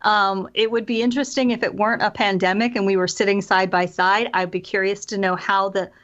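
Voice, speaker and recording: A woman's voice: mid-range voice, little pitch movement, brisk, a bit bright. An American woman talking conversationally as an interviewed author and voice actor, explaining things in a chatty way with quoted asides. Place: remote call from separate location. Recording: dull, lo-fi call audio.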